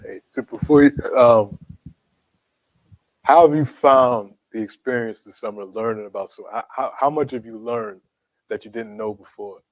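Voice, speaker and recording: A man moderating a Q&A after a student talk, asking questions in a relaxed, conversational way. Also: mid-range voice, moderate pace, no room sound, rough recording. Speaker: program facilitator.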